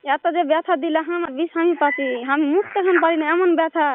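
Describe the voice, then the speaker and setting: A woman's voice: very high voice, steady tone, fairly quick, slight background noise. A woman chatting casually and flirtatiously on a phone call in a rural Bengali dialect. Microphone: telephone. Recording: muffled narrow-band phone call.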